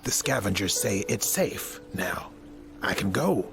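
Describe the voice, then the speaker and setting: An actor playing a weary, bitter middle-aged academic mentor with a gravelly, hesitant delivery in a video game. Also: male voice, on the low side, expressive, unhurried. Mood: hopeful, earnest. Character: weary, bitter, bookish male scholar and former mentor. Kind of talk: video game cutscene acting. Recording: music under the voice.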